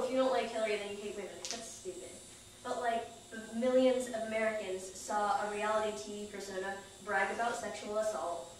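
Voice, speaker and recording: A woman reading a conversational personal monologue aloud on a small stage. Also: fairly high pitch. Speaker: performer at a live stage reading. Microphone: distant camera microphone. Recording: echoey theater, far-off camera, faint noise.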